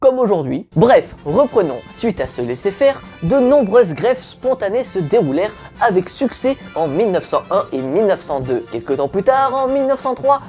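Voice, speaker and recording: A woman's voice: medium pitch, very animated, normal speed, few pauses, dark tone, soft background music. Casual jokey woman host. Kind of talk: comic history explainer.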